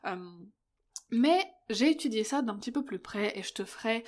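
A woman's voice: on the high side, animated, normal speed. A woman in her thirties hosting a podcast, talking in a friendly, chatty way and speaking directly to the listener. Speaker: podcast host. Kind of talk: podcast hosting, casual interview.

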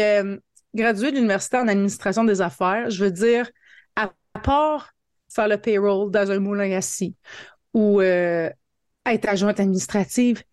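A woman's voice: medium pitch, no echo. Chatty Franco-Ontarian woman, dry close sound. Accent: Franco-Ontarian French. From northern Ontario, Canada. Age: 30s. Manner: colloquial, peppered with English words. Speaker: podcast guest, marketing coach. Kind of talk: casual conversational storytelling.

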